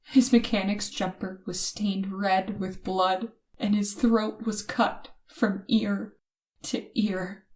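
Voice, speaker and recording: A woman's voice: mid-range voice, fairly even intonation, slow, darkish tone, natural voice. A woman playing a frightened woman motorist in an old-time radio horror drama, with tense, suspenseful, dramatic delivery. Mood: tense, ominous. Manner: dramatic, breathy gasps and hesitant stammers when afraid. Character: frightened young woman motorist, tense, narrating her terror. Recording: noisy recording with ambient effects.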